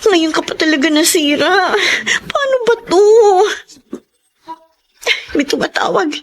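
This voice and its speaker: An actress playing a young, long-suffering Filipino elder sister in a Tagalog radio drama, with an expressive, emotional delivery. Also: female voice, very high voice, measured pace. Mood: flustered, upset.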